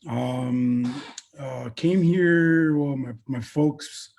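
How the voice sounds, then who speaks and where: Husky, slow-talking middle-aged man. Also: mid-range voice, darkish tone. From born in Colombia, raised in the US. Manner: halting, pausing often between short phrases. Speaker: interview guest, veteran event producer. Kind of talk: interview answers about own background.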